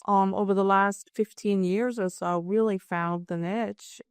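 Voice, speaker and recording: A woman's voice: mid-range voice, steady tone, a little slow, clear, resonant tone, no room sound, studio quality. A woman in her fifties, born in Germany with German as her first language, telling her story as a podcast guest in a chatty, self-deprecating way. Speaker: guest, business owner. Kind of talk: casual interview chat.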